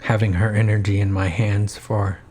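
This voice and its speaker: A man's voice: lowish voice, little pitch movement, normal speed, darkish tone. A man reading scripted first-person horror narration as the storyteller.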